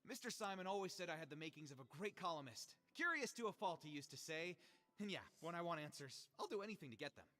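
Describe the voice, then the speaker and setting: Flustered, high-pitched young columnist. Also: male voice, animated, fast talker, sharp tone, big-room sound. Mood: wistful, proud. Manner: theatrical, stammering, hesitant excuses. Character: flustered, excuse-making young male columnist, sheepish and eager. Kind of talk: animated video game voice acting.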